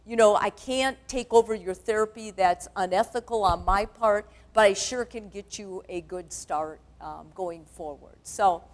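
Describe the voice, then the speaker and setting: A middle-aged woman with a booming voice, a therapist giving a chatty, candid talk to a church conference. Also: unhurried, few pauses. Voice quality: loud, booming voice. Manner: chatty, candid, self-deprecating. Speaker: guest speaker, sex therapist. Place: church stage.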